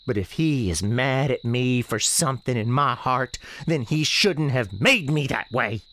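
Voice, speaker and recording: A woman's voice: a bass voice, expressive, a little slow, fairly bright tone, heavily acted. A woman playing the restless, defiant ghost of a sixteen-year-old novice nun in a radio drama, earnest and plaintive, with folksy phrasing. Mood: bitter, doomed. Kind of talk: dramatic radio theatre dialogue.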